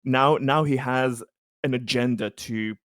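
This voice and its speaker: A man's voice: mid-range voice, steady tone, measured pace, fairly bright tone, no room sound, clean studio sound. A man playing a tabletop roleplaying game, chatting casually with blunt, joking, sweary remarks.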